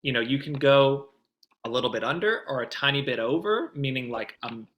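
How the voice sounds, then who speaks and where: Bright, forceful man explaining industry practice. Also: medium pitch, lively intonation, brisk. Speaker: voice demo producer, guest coach. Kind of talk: conversational expert advice in a Q&A.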